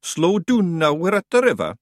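A cheeky little Scottish fairy warrior, high and bouncy, rattling off broad dialect. His voice lifts and swoops all over, heard close and dry. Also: wildly swooping pitch, normal speed, no room sound. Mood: wry. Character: tiny rowdy Scots fairy warrior man. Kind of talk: audiobook character voice.

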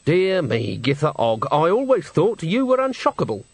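A man reading aloud and voicing a stern old woman witch who speaks calmly and dryly. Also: on the high side, normal speed, breathy edge, dry recording, voice pushed up, heavily acted. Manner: calm, dry, knowing.

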